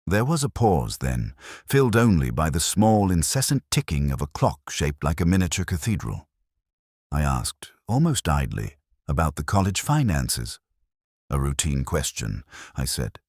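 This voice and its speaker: A man reading a first-person mystery as the narrator, in a measured, deliberate and wry way. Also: rumbling low, darkish tone, dry recording, clean studio sound.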